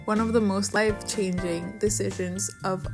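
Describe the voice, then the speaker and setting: Music plays clearly behind a young woman's voice. She grew up in Venezuela with Spanish as her first language. She narrates in a personal, cheerful vlog style, at a middling pitch for a woman. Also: flat delivery, moderate pace. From Caracas, Venezuela. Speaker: vlogger. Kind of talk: personal narration over a drawing video.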